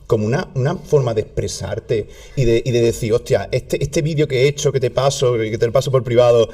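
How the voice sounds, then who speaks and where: A man with a southern Spanish accent, a guest in a casual interview, talking in an animated way with many restarts. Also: mid-range voice, a little fast. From southern Spain. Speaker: health content creator guest.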